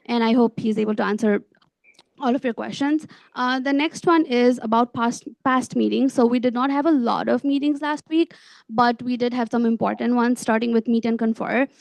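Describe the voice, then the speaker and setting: A woman's voice: on the high side, steady tone, normal speed, gravelly, a little mellow, no room sound, low-quality recording. A young adult woman speaking as a student government officer giving a report at a meeting. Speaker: student government vice president.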